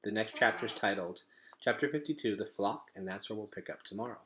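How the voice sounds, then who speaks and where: A man's voice: fairly low pitch, brisk, fairly bright tone, a little hiss or hum. Man reading a children's story aloud, expressively. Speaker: teacher reading aloud to pupils.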